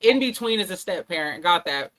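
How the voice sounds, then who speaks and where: A woman with a bright, forceful voice hosts a casual panel talk. She drawls through her questions with plenty of ums and teases her guests. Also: on the low side, expressive, fairly quick. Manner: drawling, teasing, with many ums. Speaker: host.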